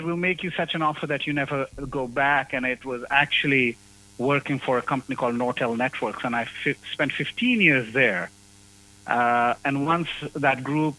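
A man's voice: medium pitch, pushed and bright, low-quality recording. A man raised in Zambia, a university professor, talking through his story as an interview guest, with many fillers and restarts. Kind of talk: conversational interview.

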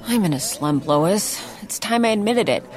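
A woman's voice: medium pitch, animated, moderate pace, close to an everyday voice. An actress playing an anxious, self-pitying, earnest activist woman in an audio drama. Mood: glum, complaining.

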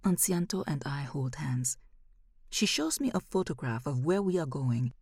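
A woman narrating a first-person literary short story in a measured, expressive way. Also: sits low, breathy edge, no room sound, clean studio sound.

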